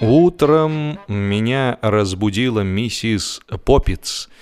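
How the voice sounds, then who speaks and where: A man reading humorous fiction as an audiobook narrator, in an expressive, dramatic storytelling manner. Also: fairly low pitch, slow pace, faint music behind. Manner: expressive, acts out the dialogue.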